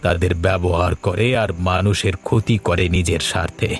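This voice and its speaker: A man's voice: sits low, steady tone, moderate pace. A man voicing a worried, earnest villager who explains things in a horror cartoon, with music behind him.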